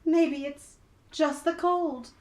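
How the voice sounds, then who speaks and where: Woman as high-voiced, caring mother. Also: takes it slowly. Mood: concerned. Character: caring, concerned mother of a poor family. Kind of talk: hobby Christmas radio play acting.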